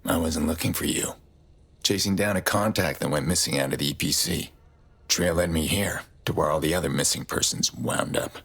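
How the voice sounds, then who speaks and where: An actor playing a grim, stoic middle-aged vigilante hacker in a video game, terse and brooding, with a low gravelly rasp. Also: male voice, normal speed, fairly bright tone, heavily acted. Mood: concerned, probing.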